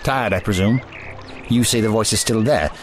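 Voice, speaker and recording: A man's voice: on the low side, normal speed, close to an everyday voice. A man playing an eccentric, authoritative older adventurer, cautious and kindly, speaking formally and precisely in an audio drama. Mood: firm, avuncular. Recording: voice over prominent music.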